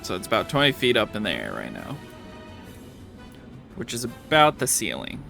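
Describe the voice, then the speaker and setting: A man's voice: on the low side, huge pitch swings, moderate pace, gravelly, sharp tone. A man acting as game master, narrating a tabletop roleplaying game in an informal, matter-of-fact way. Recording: music behind the voice. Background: music.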